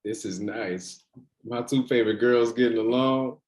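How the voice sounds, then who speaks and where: A man's voice: mid-range voice, normal speed, darkish tone, natural voice. A young man's mid-range voice in an echoey room, a man acting an affectionate grandson and attentive fiancé. His delivery is casual and slightly hesitant, as in a table-read drama. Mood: easygoing, affectionate. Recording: echoey room on a remote call.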